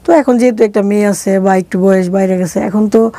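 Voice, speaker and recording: A middle-aged Bangladeshi woman recounts her own life as an interview guest. Her voice is dark and mellow, at an ordinary pitch, recorded very dry. She talks colloquially and slips into quoted dialogue as she goes. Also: steady tone, brisk, no room sound. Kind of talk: conversational personal storytelling.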